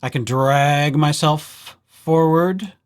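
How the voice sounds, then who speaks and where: A man presenting a casual software tutorial as a library host, with playful, wry asides. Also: a little high, slow pace. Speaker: library presenter hosting a digital services tutorial.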